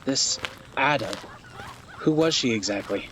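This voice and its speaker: An actor playing an earnest, curious young man in a fantasy audio drama, speaking softly with hesitant awe. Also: mid-range voice, lively intonation, unhurried, background noise, natural voice. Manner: hesitant, awed, soft.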